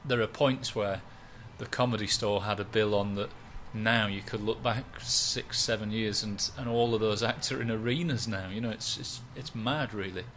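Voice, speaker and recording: A man, a stand-up comedian chatting in a relaxed, rambling, self-mocking way. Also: lowish voice, normal speed, few pauses, slight background noise. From West Yorkshire, England. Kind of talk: relaxed interview chat.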